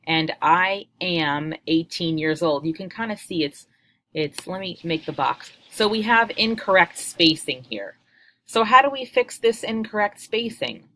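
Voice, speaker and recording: A woman's voice: sits low, a bit bright, slight background noise. A woman in her forties, a teacher walking students through a coding tutorial patiently and step by step, upbeat. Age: 40s. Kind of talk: screen-share coding tutorial.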